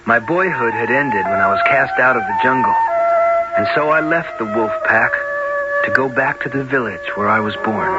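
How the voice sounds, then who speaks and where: An actor playing an earnest, proud young man raised by wolves, speaking deliberately in a radio drama. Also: medium pitch, natural voice. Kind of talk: 1970s radio drama acting. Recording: muffled, very noisy old radio broadcast.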